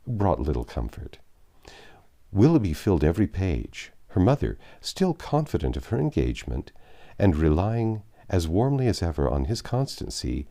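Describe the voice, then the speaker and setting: A man narrating a classic novel aloud in an expressive, measured way with dramatic phrasing. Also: deep voice, vocal fry. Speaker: audiobook narrator. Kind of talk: expressive literary reading aloud.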